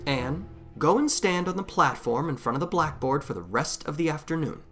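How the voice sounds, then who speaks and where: Storybook schoolmaster, acted by a woman: low-pitched, sanctimonious, weighty and solemn, with lively inflection. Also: normal speed, soft background music. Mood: solemn, stern. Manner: solemn, pompous. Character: stern, sanctimonious male schoolmaster.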